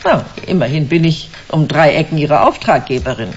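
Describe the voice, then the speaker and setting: Smug suave lady exec in a german sci-fi radio play, deep for a woman, dull hissy recording. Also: animated, moderate pace. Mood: amused, condescending. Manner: suave, theatrical, savouring her words. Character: smug, commanding female corporate director selling a drug. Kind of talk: German sci-fi crime radio drama acting.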